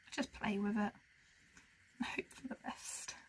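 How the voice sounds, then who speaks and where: A soft-spoken woman chatting casually as she colours, musing to herself and often dropping into a whisper. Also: a little slow, slight background noise. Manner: talks to herself as she works. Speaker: colouring channel host. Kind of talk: casual narration while colouring.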